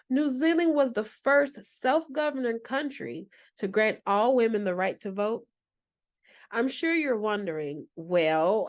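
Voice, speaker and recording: Woman, 40s, mid-range voice, reads an informational script steadily. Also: mid-range voice. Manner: reads a prepared script evenly. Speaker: segment presenter.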